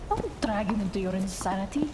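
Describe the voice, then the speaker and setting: Cyberpunk noir drama: hard-nosed, scolding female partner acted by a woman, mid-pitched, blunt, in long reverb over noisy ambience. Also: expressive, brisk, natural voice. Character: tough, no-nonsense, foul-mouthed female partner in noir cyberpunk. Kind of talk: noir audio drama dialogue.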